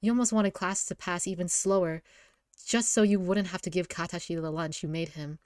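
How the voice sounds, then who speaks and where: A woman's voice: lowish voice, steady tone, brisk, a little mellow, no echo, clean studio sound. A woman informally reading a visual novel aloud as narrator, giggly and often stumbling over lines and rereading them.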